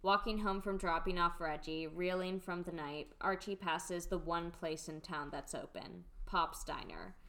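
Female narrator, lower register, reading screenplay scene directions for a radio drama. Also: animated, unhurried, gravelly, hardly any pauses, bright, forceful tone. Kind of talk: scripted narration of a radio play script.

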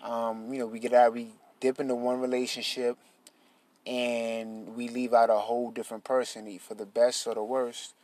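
A man's voice: mid-range voice, moderate pace. Casting: easygoing man co-host, loose and rambling, with a teasing streak. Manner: relaxed, rambling with fillers, teasing. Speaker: podcast co-host. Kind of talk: casual chat.

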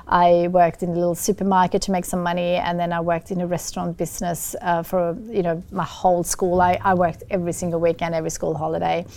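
A middle-aged woman from Sweden with a Swedish accent, talking in an interview as a guest, often pausing with fillers and restarting. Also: fairly low pitch, monotone, vocal fry, darkish tone. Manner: hesitant, many fillers and restarts. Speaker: guest, business founder. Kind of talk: casual interview talk.

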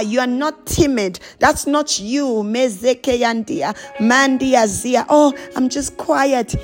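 A woman preacher delivering a charismatic sermon and prayer, exhorting with insistent short repeated phrases and breaking into tongues. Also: on the high side.

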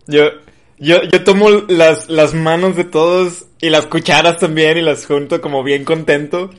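A man's voice: fairly high pitch, flat delivery, measured pace. A man playing a tabletop game, talking casually and playfully, often laughing. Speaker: player. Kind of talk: casual play chat.

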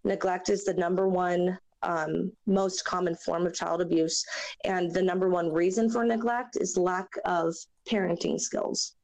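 Raspy, hoarse young woman, earnest. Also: on the low side, fairly even intonation, a little mellow. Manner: halting and earnest, many fillers, near tears. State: hoarse from a chest cold. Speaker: interview guest. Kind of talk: personal testimony in a podcast interview.

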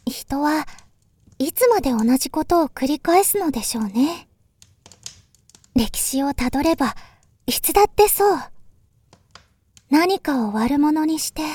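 A woman playing a polite, earnest younger sister in a voice drama, speaking formally and gravely. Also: high-pitched, expressive, warm tone, dry recording. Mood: earnest, solemn. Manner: formal, deferential, measured. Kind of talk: Japanese voice drama acting.

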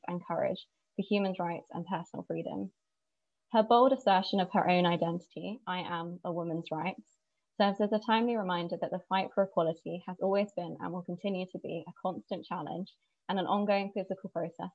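A woman giving a measured, earnest prepared talk about a historical figure, reading from notes. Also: on the low side, no room sound, dull audio. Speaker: speaker presenting an inspiring woman. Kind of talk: prepared spoken tribute.

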